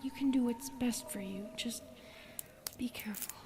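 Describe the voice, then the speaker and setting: Girl doing a bashful forest spirit lady, highish soft whispery voice, hesitant and sorry about everything, fantasy roleplay, bit of background ambience. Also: a little hiss or hum, lightly acted. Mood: bashful, tender. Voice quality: soft, breathy, often dropping into a whisper. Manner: hesitant, self-effacing, apologetic, halting. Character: shy, gentle female forest spirit, keeper of dark secrets. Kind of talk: interactive fantasy audio roleplay addressed to the listener.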